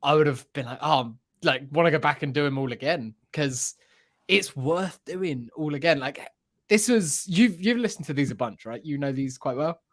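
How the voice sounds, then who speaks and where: A man's voice: a little high, expressive, normal speed. A man talking casually as a podcast panelist, with an enthusiastic, rambling way of discussing what he has been listening to. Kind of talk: casual chat about a radio drama.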